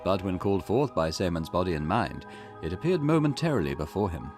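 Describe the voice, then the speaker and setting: A cryptic, formal storyteller figure, read aloud by a man, speaking in a low voice that rises and falls widely. The delivery is measured and theatrical, with game music playing clearly behind him. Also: sing-song. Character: cryptic, formal male storyteller guiding the player. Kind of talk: reading game dialogue aloud.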